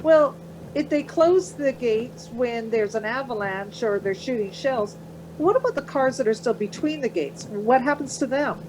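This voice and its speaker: A woman's voice: a little high, animated, normal speed, noisy background, close to an everyday voice. A woman acting in a radio play as an anxious, questioning traveller, fretful and hesitant. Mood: worried.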